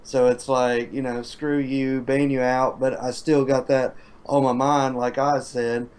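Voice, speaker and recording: Male streamer in relaxed chat. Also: medium pitch, unhurried, clean tone. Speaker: streamer, guest. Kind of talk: casual chat.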